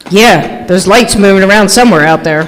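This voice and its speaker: A man's voice: sits high, a little fast, fairly bright tone. An actor playing an eager local townsman guiding a ghost hunt in an old-time radio drama on stage. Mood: eager, spooked. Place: stage in a large reverberant room. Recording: live stage hall, reverb, faint noise.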